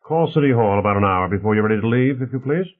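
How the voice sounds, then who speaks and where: A man's voice: fairly low pitch, animated, quick pace, voice pushed up, natural voice. A man voicing a sour, sardonic male city official in an audiobook, with a dry, terse manner. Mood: brisk. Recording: narrow phone-like band.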